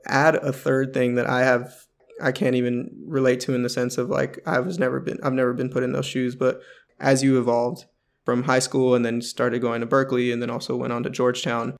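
Man, interviewer, gravelly voice, flat delivery, relaxed and hesitant. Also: mid-range voice, brisk. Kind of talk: casual interview conversation.

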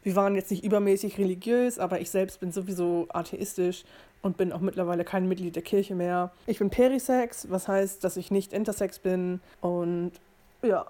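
A woman's voice: medium pitch, fairly even intonation, moderate pace. Young German woman talking casually. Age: early 20s. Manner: informal, explanatory, self-reflective, circling back to rephrase. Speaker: podcast host. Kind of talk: casual personal monologue to listeners.

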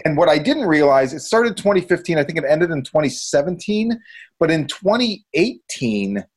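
A man with a slightly high voice chats as a podcast co-host. His delivery is informal and opinionated, thinking out loud in a casual discussion. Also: big pitch swings, moderate pace, slightly rough.

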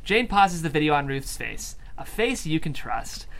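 Male stage-direction reader, fairly high, gravelly edge, scripted narration. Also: very animated, moderate pace, fairly bright tone, poor audio. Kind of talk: scripted screenplay narration at a table read.

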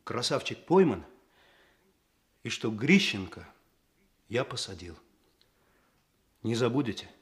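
An actor playing an amiable, earnest writer who was once a young detective, in a Soviet radio play. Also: male voice, medium pitch, big pitch swings, slow pace, long pauses, slightly rough, full character voice. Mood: polite, earnest.

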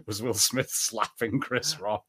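A man's voice: fairly low pitch, steady tone, a little slow, a bit bright, husky. A man co-hosting a podcast in casual banter, speaking in an easygoing, agreeable way. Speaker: podcast co-host.

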